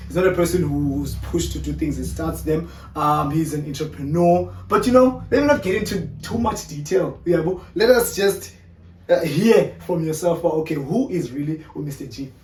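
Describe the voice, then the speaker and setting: A man hosts a casual conversation, relaxed and chatty, often cutting in with quick affirmations. His voice sits a little high and sounds distant from the mic in an echoey room with faint background noise. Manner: relaxed, frequent filler affirmations. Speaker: host. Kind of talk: casual interview chat.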